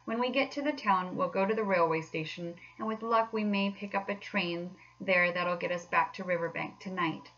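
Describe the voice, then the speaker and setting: A woman's voice: fairly low pitch, a bit bright, dull audio. Woman as a firm, exasperated storybook water rat. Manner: brisk, firm, no-nonsense.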